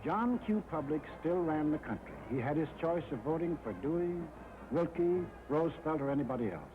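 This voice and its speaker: A man's voice: fairly high pitch, animated, brisk. An American man narrating a 1940s wartime propaganda film in a dramatic, emphatic, rhetorical style. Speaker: documentary narrator. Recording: muffled old film soundtrack with music.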